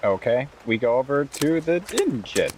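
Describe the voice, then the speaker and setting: A man's voice: mid-range voice, animated, moderate pace, a little mellow, slight background noise. A man talking casually and jokingly as a player in a tabletop roleplaying game. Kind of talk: casual in-character game play talk.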